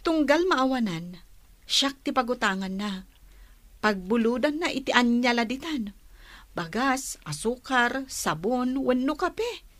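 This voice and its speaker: An aggrieved, wronged lender. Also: female voice, a little high, lively intonation, measured pace, fairly bright tone, no room sound. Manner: aggrieved, complaining. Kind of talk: radio drama acting.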